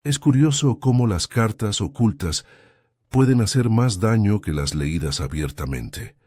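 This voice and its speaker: Man reading a mild, shrewd old lady sleuth, deep and very dry. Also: animated, measured pace, dry recording. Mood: gentle, probing. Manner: soft and kindly, probing. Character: gentle elderly spinster sleuth, mild and shrewd.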